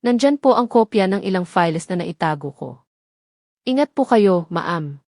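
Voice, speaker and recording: A woman's voice: medium pitch, lively intonation, moderate pace, darkish tone, no echo, clean studio sound, close to an everyday voice. A woman voicing a timid, respectful female ex-employee in an audio drama, deferential and hesitant. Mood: cautious.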